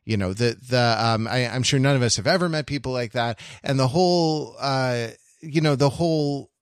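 A man's voice: medium pitch, moderate pace, clear, resonant tone, no room sound, clean studio sound. A man co-hosting a discussion podcast, thinking aloud analytically and often repeating and rephrasing as he searches for words. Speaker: podcast host. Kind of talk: analytical discussion of classic television.

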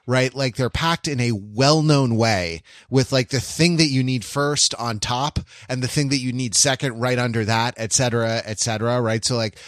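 Male podcast co-host, mid-pitched, thinking aloud in halting, self-correcting digressions, recorded very dry. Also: a bit bright, no room sound. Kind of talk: casual conversational podcast discussion.

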